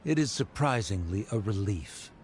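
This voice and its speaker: A man's voice: fairly low pitch, animated, normal speed, darkish tone, a little hiss or hum, close to an everyday voice. A man voicing a haughty, disdainful scholar in a video game, formal and condescending.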